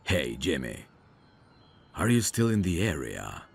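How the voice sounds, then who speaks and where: A man's voice: very deep voice, sing-song, a little slow, vocal fry, noisy background, exaggerated voice. A man playing a suave, dangerous mafia boss in an ASMR roleplay, cocky, flirtatious and teasing. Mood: smooth, insistent. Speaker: voice actor.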